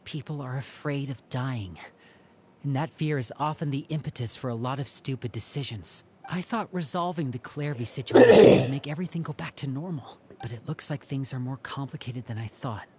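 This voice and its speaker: An actor playing a calm young man who leads his siblings and gives measured orders. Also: mid-range voice, slight background noise, natural voice. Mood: grave. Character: young man, calm strategist of an orphan house.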